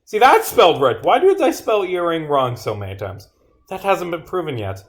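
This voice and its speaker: A man's voice: on the high side, big pitch swings, normal speed. A man livestreaming as a game developer, reading his own script aloud theatrically and dropping into muttered asides. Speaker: streamer and visual novel developer.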